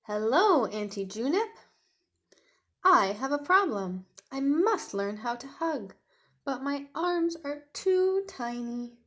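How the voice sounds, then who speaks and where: A woman's voice: sits high, very animated, slow, fairly bright tone, pitched above the performer's own voice, exaggerated voice. A woman voicing a small, earnest young male dinosaur in a storybook, speaking in simple, emphatic sentences. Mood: earnest, seeking help. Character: small earnest young male T. rex, sad but determined.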